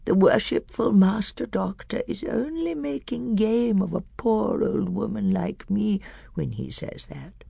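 A woman reading aloud, voicing a fawning old hag with a shrill, whining manner. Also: very animated, a little slow, gravelly, warm tone, husky, no echo, studio quality, exaggerated voice. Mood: wheedling.

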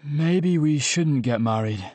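A male narrator plays a hapless, sardonic young man in an audiobook. His dialogue is emphatic and easily flustered, with wide swings in pitch and a close, dry sound. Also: expressive, takes it slowly, a little mellow, dry recording. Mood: drowsy.

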